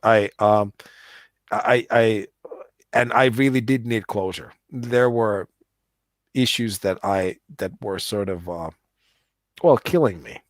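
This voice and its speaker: A man's voice: lowish voice, no room sound, clean studio sound. A middle-aged man from the United States, a musician talking about his work in a casual interview, rambling with many restarts. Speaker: musician guest.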